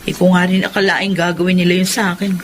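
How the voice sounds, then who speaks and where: A woman's voice: fairly low pitch, little pitch movement, fast, a little hiss or hum, lightly acted. A woman playing a hurt but principled young female lawyer in a Filipino radio drama, speaking earnestly.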